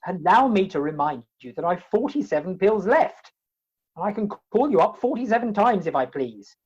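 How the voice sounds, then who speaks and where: Woman as indignant theatrical hero, wordy comic period role, animated and husky, muffled online recording. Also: mid-range voice, normal speed. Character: indignant, exasperated comic hero pleading his case. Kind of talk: comic Victorian stage play acting. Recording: dull, lo-fi video-call audio.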